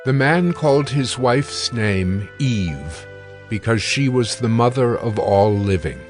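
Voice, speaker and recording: A man narrating scripture in a slow, steady, deliberate reading. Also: medium pitch. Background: music.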